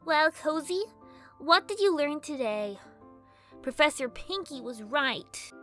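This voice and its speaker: A woman's voice: high-pitched, lively intonation, slow, sharp tone, faint music behind, pitched above the performer's own voice, heavily acted. A woman voicing a bratty, smug little girl pegasus, exasperated and snippy, in a cartoon fan fiction reading. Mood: weary, self-mocking.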